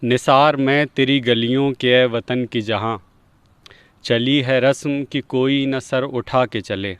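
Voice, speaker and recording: Man, poetry reciter, middle pitch, clear smooth voice, unhurried, dry recording. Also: steady tone, dry recording.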